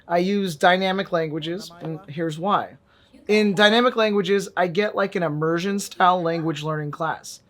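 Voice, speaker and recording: A man's voice: high voice, normal speed, fairly bright tone. A man vlogging a casual app review in a wry, joking way, with sudden emphatic bursts. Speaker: VR fitness and language vlogger.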